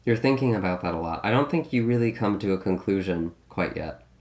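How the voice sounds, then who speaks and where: A man giving a casual tarot reading, slightly raspy from a recent illness, musing and thinking aloud with many hedges and restarts. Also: low voice, brisk, darkish tone. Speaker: tarot reader.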